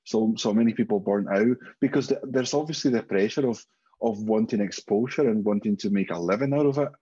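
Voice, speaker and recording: A man from Spain speaks English with a Spanish accent, his voice slightly low with a husky edge. He chats openly and swears freely as a guest on a video call. The sound is somewhat dull and lo-fi. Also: fairly quick, mellow. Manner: candid, sweary storytelling. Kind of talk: casual interview chat. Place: home room, indoors.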